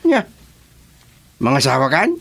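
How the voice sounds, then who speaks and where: A man's voice: medium pitch, wildly swooping pitch, unhurried, creaky, a bit bright, exaggerated voice. An actor playing a teasing, skeptical older uncle with an Ilocano accent in a radio comedy drama, exclaiming and stretching his words.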